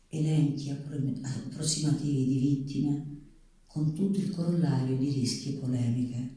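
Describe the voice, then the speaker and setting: A man's voice: mid-range voice, warm tone. A man reading a literary story aloud on stage. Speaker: reader on stage. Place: live theatre stage. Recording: echoey hall, distant microphone.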